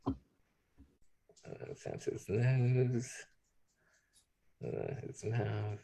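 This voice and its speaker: A man leading a drawing demonstration, talking in a relaxed way as he draws. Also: mid-range voice, very slow, creaky, husky, low-quality recording. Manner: relaxed, talks while drawing. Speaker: art instructor. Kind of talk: drawing demonstration, thinking aloud.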